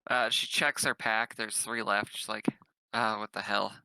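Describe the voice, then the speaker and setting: A man's voice: lowish voice, flat delivery, unhurried, pushed and bright, smooth, no echo, rough recording. A man casually narrating and speaking for his character in a tabletop roleplaying game.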